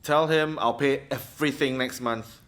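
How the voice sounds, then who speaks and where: An actor with a Singaporean accent playing a harried, cutting nightclub boss short of money in a radio play, grumbling and sarcastic. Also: male voice, medium pitch, steady tone, moderate pace, a bit bright, reverberant, lightly acted. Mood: bossy.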